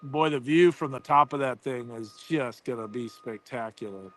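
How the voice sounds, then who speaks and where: A man's voice: medium pitch, normal speed. An American man hosting a casual livestream with enthusiastic, conversational live commentary. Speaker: livestream host.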